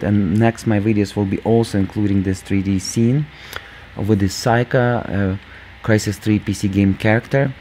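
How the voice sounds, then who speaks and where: A man hosting a gaming channel, talking casually over gameplay with a squeaky voice, lots of fillers, and calling viewers "guys". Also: mellow, a little hiss or hum. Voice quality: squeaky, just-woken voice. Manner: rambling, many fillers, addresses viewers as guys.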